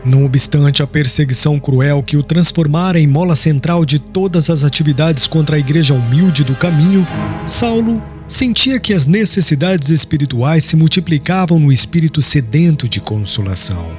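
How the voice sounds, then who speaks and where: A Brazilian man narrates a literary audiobook in a somewhat high-set voice. His delivery is solemn and measured, with phrases settling downward as they end. Faint music plays behind him. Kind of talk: scripted literary narration of a religious historical novel. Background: background music.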